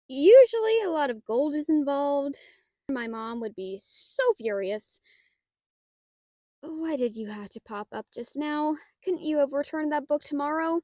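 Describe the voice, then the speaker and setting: A woman playing a shy, nerdy young half-dragon librarian in an audio roleplay, flustered and halting, bookish and given to theatrical indignation. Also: high voice, animated, warm tone, no echo, studio quality. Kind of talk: audio roleplay acting, addressed to the listener.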